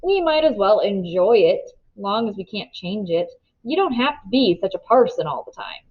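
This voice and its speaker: A woman's voice: medium pitch, very animated, normal speed, breathy edge, lo-fi sound. A woman voicing an eager, carefree teenage boy in a storybook read-aloud, exclaiming in a folksy way. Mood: breezy.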